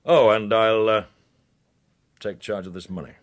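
A very low-pitched male actor plays a commanding spy boss from a radio crime drama. He speaks with measured authority and a steady undertone of menace and suspicion. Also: lightly acted. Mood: measured threat.

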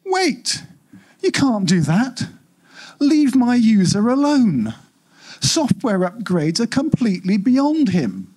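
A man's voice: very high voice, wildly swooping pitch, takes it slowly, darkish tone, slightly rough, voice pushed up, heavily acted. A man voicing an exasperated, self-important home AI in a live story reading, complaining indignantly and emphatically. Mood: panicked.

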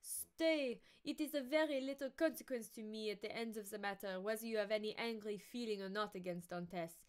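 Woman doing a jealous young man in hushed tones. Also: medium pitch, fairly quick, clear, resonant tone, close to an everyday voice.

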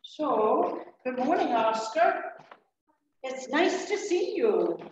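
A woman giving a children's message in a church, slowly posing what-if questions to a child, recorded from afar in the sanctuary. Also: high-pitched, big pitch swings, slight background noise. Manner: slow, gentle questioning of a child. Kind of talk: children's sermon storytelling. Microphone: lectern mic from a far camera. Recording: echoey, dull church room recording from afar.

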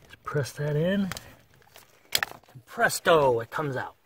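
A man's voice: fairly high pitch, lively intonation, slight background noise. A man giving a casual step-by-step car repair demonstration, talking plainly while he works under a dashboard. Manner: plain, unhurried, talks while working with tools. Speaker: car repair how-to presenter.

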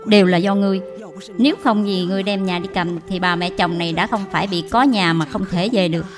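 A woman playing a proud, stubborn old mother-in-law in a cartoon dub, speaking in a sharp, reproachful way. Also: lowish voice, fairly even intonation, fast, over music, natural voice. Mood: bitter blame. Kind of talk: dubbed cartoon drama acting.

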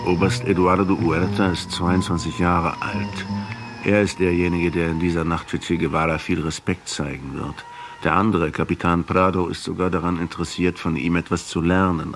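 A man playing a pensive middle-aged historian who narrates a radio drama in a husky, reflective, measured way. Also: low voice, slight background noise. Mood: sober narration. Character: pensive 39-year-old male historian questioning a captive.

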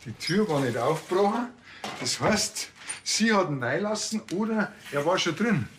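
A man's voice: high voice, expressive, moderate pace, fairly bright tone, slight background noise. An actor playing a cocksure, talkative village policeman in a comedy crime series, with a Bavarian accent and a colloquial way of explaining. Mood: animated, cocksure.

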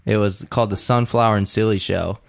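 A man's voice: lowish voice, steady tone, a little fast, vocal fry. A young man co-hosting a podcast, telling stories in casual chat, swearing often and laughing as he talks. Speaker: podcast co-host.

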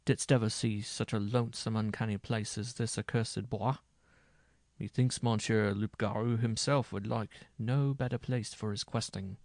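A man's voice: on the low side, steady tone, moderate pace, creaky, a little mellow, no room sound, clean studio sound. A man voicing a boisterous, boastful medieval minstrel in a storybook tale, with old-fashioned oaths. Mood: mocking bravado. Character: boisterous bearded medieval minstrel, mocking braggart, later terrified.

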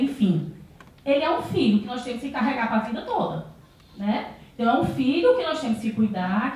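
A woman's voice: fairly high pitch, warm tone. A woman in her thirties from Brazil giving a conference talk on stage with a handheld mic, often adding tag questions and restarting sentences. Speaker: conference speaker. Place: large auditorium stage with audience. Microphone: handheld microphone. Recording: very echoey hall, voice from far off.

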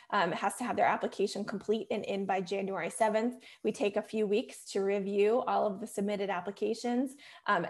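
Woman presenting on a video call. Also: mid-range voice. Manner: many fillers and restarts, explanatory. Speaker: admissions program staff. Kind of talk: webinar presentation.

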